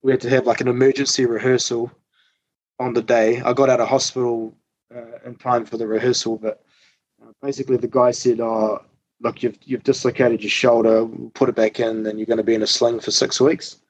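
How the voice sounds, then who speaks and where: A man, a musician guest telling tour stories in casual interview chat, relaxed and full of ums and the odd swear. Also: medium pitch, brisk. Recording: slightly dull dry recording.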